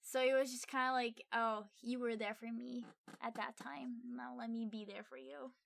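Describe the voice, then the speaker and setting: A woman with a fairly high voice co-hosts a casual film podcast. She talks informally and agreeably, in a loose conversational style. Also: normal speed, creaky, a crowd in the background. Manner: informal, agreeable, frequent filler "like". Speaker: podcast co-host.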